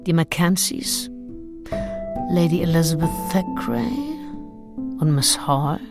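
A calculating middle-aged gentlewoman in a radio play. She muses coolly and deliberately over her diary, sure of herself, with music clearly behind her. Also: sits low. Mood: weighing options. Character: calculating, self-assured English gentlewoman of forty-one, seducer. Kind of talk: radio drama diary monologue.

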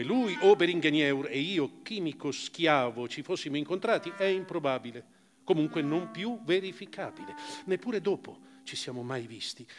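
A man giving a dramatic literary reading as a stage actor, with deliberate phrasing and weighted pauses. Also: fairly high pitch. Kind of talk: dramatic literary reading, first-person narration. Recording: long hall reverb of a live performance.

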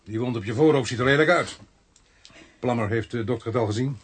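An actor playing a blunt, sardonic, bossy police inspector who mutters asides in a Dutch radio crime drama. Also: male voice, medium pitch, fairly quick, husky, lightly acted. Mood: brisk, businesslike. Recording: noisy radio drama recording.

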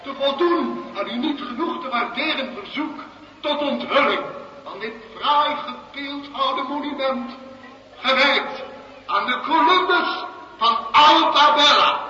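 Puffed-up speechmaker in a radio drama, sharp high voice, slow, echoey far away sound. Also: male voice, full character voice. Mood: grandiose. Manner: pompous, declamatory. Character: pompous small-town orator unveiling a monument. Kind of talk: florid ceremonial public speech, radio play acting. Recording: muffled far-off outdoor speech, long reverb, hiss.

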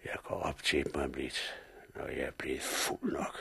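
A gravelly, very deep-voiced drunk who has just gone blind. He rambles in a slurred, bitter mutter, hoarse and husky. This is a 1960s radio play. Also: pushed and bright, in a whisper, heavily acted. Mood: despairing. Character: drunken blinded man, despairing and reckless. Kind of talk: 1960s radio drama acting. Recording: vintage radio broadcast.

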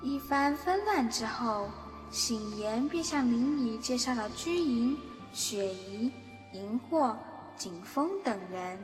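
A woman's voice: on the high side, lively intonation, slow pace. A woman reading scripted narration for a fantasy radio drama. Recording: long reverb, music underneath. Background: background music.

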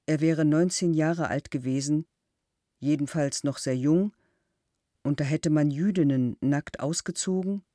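An actor reading a witness statement that retells a friend's war story, calm and measured. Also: male voice, fairly high pitch, no echo, studio quality, natural voice. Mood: grave, retelling.

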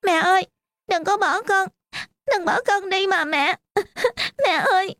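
A woman's voice: very high voice, a little slow, fairly bright tone, dry recording, pitched above the performer's own voice. A woman voicing a small girl who sobs and pleads for her mother in a spoken drama story. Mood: sobbing plea. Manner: sobbing, pleading.

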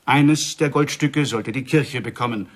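A man's voice: mid-range voice, unhurried, natural voice. An actor playing a village man who earnestly relays news as a storyteller in a radio play. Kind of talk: German radio play acting.

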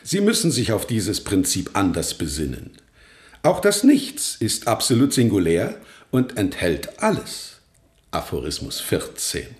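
An actor playing an eccentric, pompous philosopher in a radio drama, speaking in a professorial, aphoristic manner. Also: male voice, on the low side, huge pitch swings, measured pace. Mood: lecturing. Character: eccentric, pompous male philosopher who renounced possessions. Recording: roomy, echoing sound.

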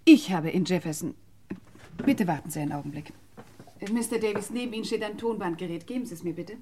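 A woman's voice: on the low side, fast, far from the mic, noisy background, close to an everyday voice. An actress playing a determined woman in a radio crime play, polite but insistent. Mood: resolute. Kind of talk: German radio crime play acting, 1950s to 80s.

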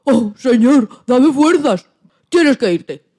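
Lady doing grumpy old hungry wolf for a kids radio play, soft dark voice, dramatic, secretly softhearted. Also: high voice, animated, slow, heavily acted. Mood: dismayed. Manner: theatrical, exclamatory. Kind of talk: children's story radio play.

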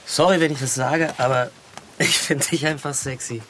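Ein Schauspieler spielt in einem Hörspiel einen unbeholfenen, verliebten Mann, der eifrig und stockend spricht. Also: male voice, medium pitch, fairly even intonation, lightly acted. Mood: infatuated, eager. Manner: hesitant, eager, halting. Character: awkward, infatuated man working for an aid organisation. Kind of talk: naturalistic radio drama dialogue. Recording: clear background noise.

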